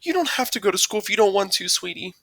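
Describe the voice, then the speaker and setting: A man voicing a gentle, concerned and affectionate mother in an audiobook, speaking in a tender, coaxing, emphatic way. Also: high voice, expressive, fast talker, dry recording, pitched above the performer's own voice. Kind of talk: audiobook character dialogue.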